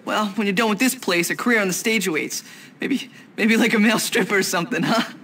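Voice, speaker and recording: An actor playing a timid, wry schoolboy sidekick in a video game, hesitant and dryly sarcastic. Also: male voice, sits high, fast talker, bright, forceful tone, slight background noise. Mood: awkward sarcasm. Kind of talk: video game cutscene acting.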